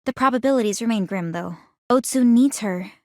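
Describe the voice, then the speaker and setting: An actress performs a young woman strategist in a video game with a somewhat high voice. She is composed and analytical, choosing formal words with measured, articulate delivery. The sound is very dry, with no room around it. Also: darkish tone, dry recording, lightly acted. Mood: composed, confident. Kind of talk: scripted video game character dialogue.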